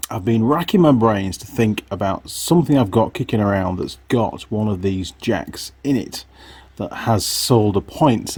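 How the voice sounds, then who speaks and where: Husky, low-voiced man muttering through a repair. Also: darkish tone, no room sound. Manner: muttering to himself and viewers while working. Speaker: vlogger, hobby mechanic. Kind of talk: casual hands-on workshop commentary.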